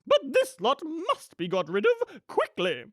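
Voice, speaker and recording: A woman's voice: high-pitched, huge pitch swings, unhurried, no room sound, studio quality, voice pushed up, heavily acted. A woman voicing an imperious, gleefully murderous rich villainess from a storybook, with a haughty, theatrical, commanding manner. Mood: haughty, scheming.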